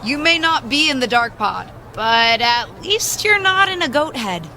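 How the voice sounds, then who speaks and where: Game cutscene role: bantering young female wrestler, bright and higher-pitched, conversational, a bit guarded. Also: sing-song, measured pace, some chatter behind, heavily acted. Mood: teasing, probing. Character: young woman pro wrestler, friendly, wary, bantering. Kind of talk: video game cutscene dialogue. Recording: faint background noise.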